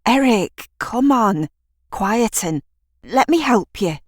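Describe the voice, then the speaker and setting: A woman voicing a caring, anxious girl in an audio drama, coaxing in an urgent, hushed manner. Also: on the high side, lively intonation, takes it slowly, no echo, studio quality, voice pushed up. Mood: soothing. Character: caring, anxious girl soothing a distressed friend.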